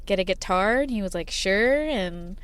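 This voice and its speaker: A woman's voice: mid-range voice, lively intonation, moderate pace, smooth. A woman who grew up with sign language as her first language, chatting as a band's singer-songwriter in a parked car, rambling with many fillers and laughs. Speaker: band singer-songwriter, guest. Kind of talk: casual interview chat.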